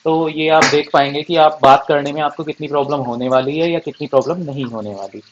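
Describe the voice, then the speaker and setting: Casual male gadget reviewer, Indian accent, slightly high voice, explanatory delivery with English phrases mixed in. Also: steady tone, brisk, lo-fi sound, noisy background. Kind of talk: casual product review to camera.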